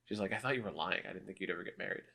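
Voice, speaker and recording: Low-voiced thirty-year-old man, laid-back. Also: very fast, gravelly, pushed and bright. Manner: laid-back, wry, laughs often. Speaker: podcast co-host. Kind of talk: casual podcast chat.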